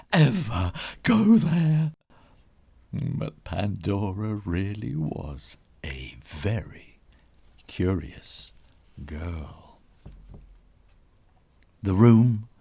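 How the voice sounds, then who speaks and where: A low-voiced man performs a children's story with great drama and very slow pacing. He draws words out for suspense, often sinking toward a hushed whisper, and his pitch swings widely with a gravelly creak. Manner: theatrical, suspenseful, drawn-out words and whispered asides; playful onomatopoeia and repetition. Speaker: author reading own children's story.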